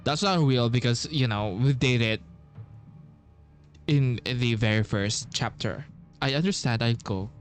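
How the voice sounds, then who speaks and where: A man's voice: medium pitch, measured pace, long pauses, soft background music. A man giving casual, slangy gameplay reaction commentary in trailing half-sentences. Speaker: gaming video creator.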